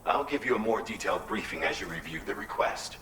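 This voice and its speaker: A man's voice: low voice, expressive, fast, sharp tone, slight background noise. An actor playing a businesslike mission briefer in a video game, formal, precise and composed as he lays out orders.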